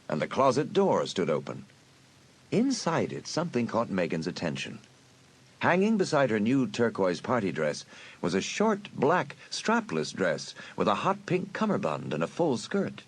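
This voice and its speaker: A man narrating a dramatised audiobook in an expressive storytelling manner. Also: medium pitch, a little slow, husky.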